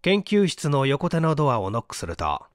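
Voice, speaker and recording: A man's voice: on the high side, huge pitch swings, normal speed, no echo, clean studio sound. A man reading a literary story as a scripted audiobook narration. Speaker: audiobook narrator and stage actor.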